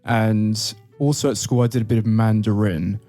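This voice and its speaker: Mellow, low-voiced man. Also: fairly even intonation, normal speed, soft background music. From born in Brazil, moved to the UK. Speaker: podcast host. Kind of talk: casual chat.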